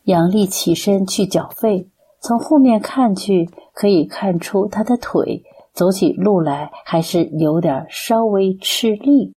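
语速缓慢、音色明亮度低的女旁白. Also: female voice, mid-range voice, measured pace, mellow, slightly rough. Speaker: narrator. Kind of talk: scripted narration of an audio drama.

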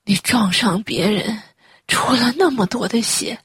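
A woman's voice: a little high, fairly bright tone, no room sound, studio quality, exaggerated voice. A woman playing an anxious, tearful, upright widowed mother in a Chinese radio drama, sighing and pleading. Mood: urgent, upset. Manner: sighing, pleading, slow and breathy.

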